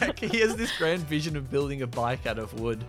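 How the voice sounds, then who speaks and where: A man's voice: medium pitch, sing-song, a little fast, music in the background. A young man chatting casually and jokily as a podcast co-host, sometimes mock-serious. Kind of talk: casual comedic podcast banter.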